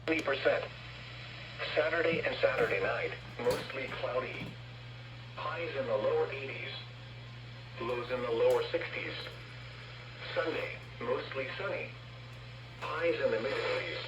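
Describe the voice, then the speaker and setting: A man's voice reading a formal weather bulletin over the radio in an impersonal, measured way. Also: medium pitch. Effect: radio broadcast. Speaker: automated weather radio announcer. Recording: noisy lo-fi radio speaker in echoey room.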